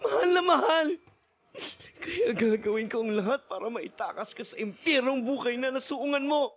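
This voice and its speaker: A woman's voice: sits high, normal speed. A woman acting a desperate, lovesick young male classmate in a radio drama, earnest and pleading. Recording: lo-fi radio broadcast with faint noise.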